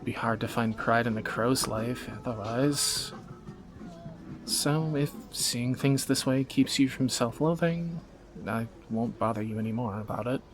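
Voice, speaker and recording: A man's voice: medium pitch, measured pace, over music, natural voice, low-quality recording. A man playing a wry young thief in a romantic audio roleplay, teasing and sardonic in a conversational way, anxious yet affectionate. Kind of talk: scripted romantic audio roleplay, spoken to the listener.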